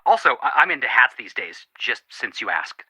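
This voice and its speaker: A man's voice: mid-range voice, big pitch swings, moderate pace, sharp tone, husky, dry recording, heavily acted. An actor playing a goofy, playful young male detective in a video game, talking over a phone line. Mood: joking. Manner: playful, wisecracking.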